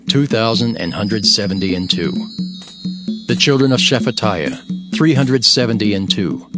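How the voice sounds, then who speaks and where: Solemn male scripture narrator over music. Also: on the low side.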